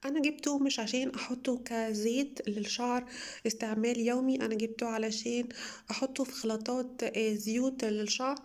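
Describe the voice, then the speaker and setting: Fast-talking Egyptian woman, slightly hoarse. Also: fairly high pitch, steady tone, darkish tone. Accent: Egyptian Arabic. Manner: chatty, enthusiastic, addresses viewers directly. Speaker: beauty vlogger. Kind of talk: casual product haul review.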